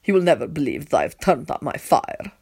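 A woman voicing a calm, stern but kindly vampire prince, speaking in a measured, formal way. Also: fairly low pitch, gravelly, slightly rough, heavily acted.

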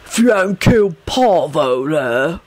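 A woman voicing a big, dim, bald male thug who talks in rough slang, by turns blubbering and menacing, in an audiobook story. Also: on the low side, very animated, takes it slowly, a little mellow, dry recording, full character voice. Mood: hostile. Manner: rough uneducated slang, mumbling and bawling.

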